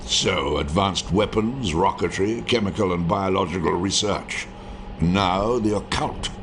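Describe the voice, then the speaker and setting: An actor playing a senior wartime intelligence chief, authoritative and deliberate, leading a briefing in a video game. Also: male voice, low-pitched, noisy background. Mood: stern, questioning.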